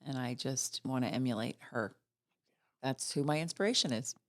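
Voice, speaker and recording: Middle-aged woman, podcast guest, positive and sincere, light gravel in the voice. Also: very deep voice, moderate pace. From Virginia. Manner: warm, upbeat, heartfelt. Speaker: financial executive guest. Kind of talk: casual interview chat.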